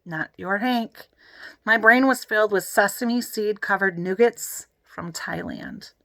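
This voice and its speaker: Woman doing a kids book read aloud, bright clear-cut delivery, very dry sound, emphatic. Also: medium pitch, big pitch swings, slow, no room sound.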